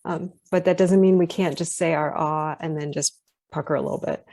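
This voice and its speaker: A woman with a West Coast US accent teaching phonetics on a video call, gently correcting details and encouraging students. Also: low voice, steady tone, fairly quick, dry recording. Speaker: phonetics instructor. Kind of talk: online class discussion.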